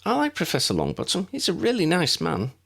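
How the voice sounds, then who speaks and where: A man's voice: on the high side, huge pitch swings, fairly quick, creaky, a bit bright, slightly rough, pitched above the performer's own voice, exaggerated voice. A man voicing a friendly, eager, chatty schoolgirl in a storybook reading. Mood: bright, friendly.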